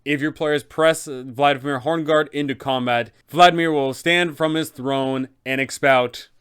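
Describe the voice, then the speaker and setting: A man's voice: mid-range voice, fairly even intonation, measured pace, fairly bright tone, clean tone. A man narrating advice for game masters, enthusiastic and speaking straight to viewers. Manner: enthusiastic, addresses viewers directly. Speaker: game master guide host.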